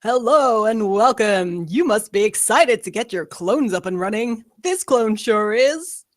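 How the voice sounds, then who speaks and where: A woman's voice: fairly high pitch, animated, measured pace, dry recording, clean studio sound. A woman playing a falsely cheerful, callous presenter of a 1950s instructional film in a comedy table read. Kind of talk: mock 1950s infomercial acting at a table read.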